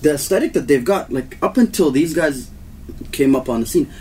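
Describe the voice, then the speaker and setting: A man's voice: fairly high pitch, lively intonation, fairly quick, a little mellow, a little hiss or hum. Rambling man co-host heard from afar. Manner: rambling, with many "like"s. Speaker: podcast co-host. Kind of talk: casual podcast conversation. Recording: voice caught from a distance.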